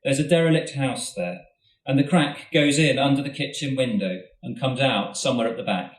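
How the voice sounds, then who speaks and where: Brief: male author reading a story aloud to a live audience, measured and steady, mid pitch, in a roomy hall with the mic at a distance. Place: literature festival event hall.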